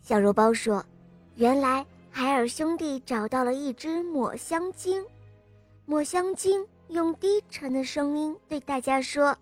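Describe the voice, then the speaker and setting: A woman's voice: high-pitched, unhurried. A woman reading a scripted storybook tale for young children, expressively and addressed to little listeners. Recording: music under the voice. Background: music.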